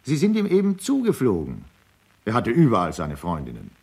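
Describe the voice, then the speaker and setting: A man's voice: fairly low pitch, wildly swooping pitch, a little fast, gravelly, a little mellow, breathy edge, heavily acted. A man playing an insistent, emphatic witness giving testimony in a radio drama. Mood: defensive, explaining.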